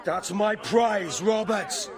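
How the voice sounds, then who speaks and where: An actor playing a hot-blooded, aggressive pirate in a video game, loud and forceful. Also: male voice, high voice, slow, over music, a crowd in the background. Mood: furious. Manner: forceful, shouting.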